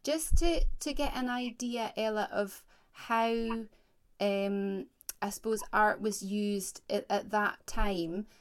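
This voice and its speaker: A woman conducts an interview by phone, her pitch sitting in a middle range. She speaks gently, with plenty of hesitations and restarts. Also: steady tone, slow, clean tone. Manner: gentle, hesitant, many fillers. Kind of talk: oral history interview.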